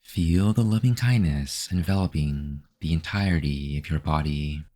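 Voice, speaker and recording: A man narrating a guided meditation as the guide, in a measured, instructional manner. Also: extremely low pitch, warm tone.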